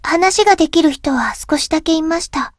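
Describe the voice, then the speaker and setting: A shy teenage schoolgirl from a visual novel. Her voice is high and soft, with wistful, hesitant, polite phrasing. The sound is close and dry. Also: rapid-fire, no echo. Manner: polite, hesitant, speaking in short gentle phrases. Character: shy, wistful teenage schoolgirl, recently back from long absence. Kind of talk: Japanese visual novel voice acting.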